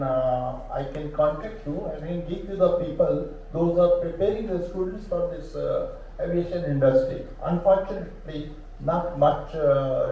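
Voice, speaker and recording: Gravelly older Indian man in a hall. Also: fairly high pitch, a little hiss or hum. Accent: Indian English. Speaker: audience member, aviation teacher. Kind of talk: question from the floor. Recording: echoey hall, distant dull mic.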